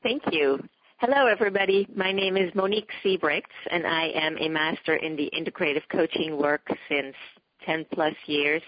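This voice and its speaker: A woman's voice: low-pitched, moderate pace, no room sound, lo-fi sound. A woman with a Dutch accent, a coach introducing herself on a teleseminar in careful, slightly formal phrasing. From Netherlands.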